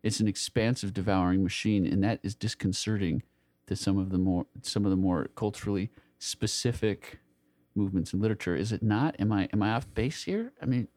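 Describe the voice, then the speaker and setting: A man's voice: fairly low pitch, fairly quick, darkish tone, no echo. A man co-hosting a casual literary discussion, searching for words, repeating phrases and swearing freely. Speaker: podcast co-host.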